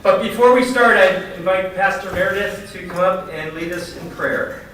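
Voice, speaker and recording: A man's voice: high voice, normal speed. A man speaking as the host of a community event, giving a formal welcome speech that is partly read from notes. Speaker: event host, foundation chair. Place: large echoing indoor banquet venue. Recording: very echoey hall, distant mic, background noise.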